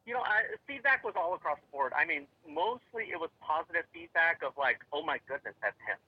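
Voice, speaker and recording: A man's voice: on the high side, animated, brisk, husky, no room sound. Rambling man on a dull line. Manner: rambling, many fillers and restarts. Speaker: tech company guest. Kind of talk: interview answers.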